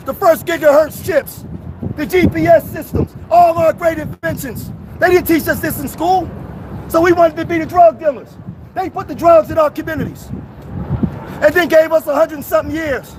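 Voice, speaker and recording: Philly man, protest orator, loud and profane, outdoors with light street noise. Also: extremely high pitch, moderate pace. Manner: shouting, emphatic, profane. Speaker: protest speaker. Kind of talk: impassioned street speech, then street chat.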